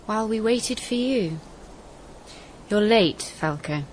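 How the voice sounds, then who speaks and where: A woman playing a sharp-tongued young Roman noblewoman in a radio drama, cool and teasing. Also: mid-range voice, lively intonation, slow pace, a little hiss or hum. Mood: cool, reproving.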